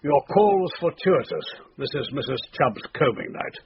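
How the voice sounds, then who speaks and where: An actor playing a pompous, dithering English police chief with a raspy voice in a radio drama. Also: male voice, mid-range voice, sing-song, normal speed, exaggerated voice. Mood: fussy, surprised. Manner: fussy, hesitant, pompous. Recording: dull lo-fi radio recording.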